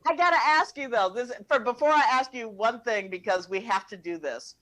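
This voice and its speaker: A woman's voice: fairly high pitch, lively intonation, a little fast, pushed and bright, low-quality recording. A woman in her fifties hosting a comedy chat, casually bantering in a brash, teasing, sweary way. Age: 50s. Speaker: comedy show host.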